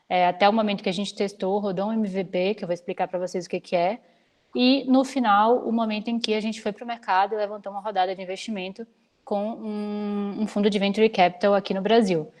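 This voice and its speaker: A young woman from Salvador speaks over a video call, sharing slides with students. Her pitch sits in the ordinary middle range. She talks briskly and practically, with an energetic pull. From Salvador, Bahia, Brazil. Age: 20s. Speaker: startup founder giving a guest talk. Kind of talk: online lecture with slides.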